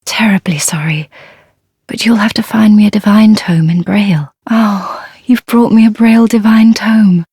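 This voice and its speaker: An actress playing a gentle, devout and fearful blind young nun in a dark fantasy game, speaking softly and humbly in a breathy, whispery voice. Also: female voice, medium pitch, no room sound, clean studio sound. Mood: earnest, hesitant. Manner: halting, humble, formal, reverent.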